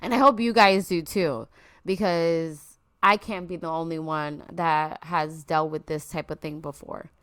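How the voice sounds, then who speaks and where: A woman's voice: deep voice, fairly even intonation, a little slow, no echo. A young woman hosting her own podcast, talking earnestly and openly to her listeners, a little stuffy from allergies. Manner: earnest, confiding, speaks straight to listeners. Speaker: podcast host. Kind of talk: personal solo podcast talk. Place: at home.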